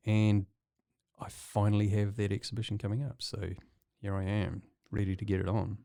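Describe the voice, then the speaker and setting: Low-voiced New Zealand man. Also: moderate pace, vocal fry, no room sound, studio quality. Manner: relaxed, hesitant with many ums, chuckles often. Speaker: guest artist. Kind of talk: casual interview chat.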